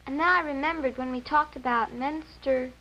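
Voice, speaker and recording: A woman's voice: sits high, normal speed, pushed and bright, muffled recording. A woman playing an eager, innocent American girl of about twelve in a 1950s educational film, speaking in an earnest, exclamatory way. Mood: shyly excited.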